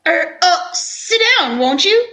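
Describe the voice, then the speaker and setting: A woman plays a nervous male executive in an old-time radio detective show. The voice is extremely high and sharp, with lively swings. He hesitates and frets, stammering in a fussy way. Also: slow, heavily acted. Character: flustered, anxious male store executive hiring a detective.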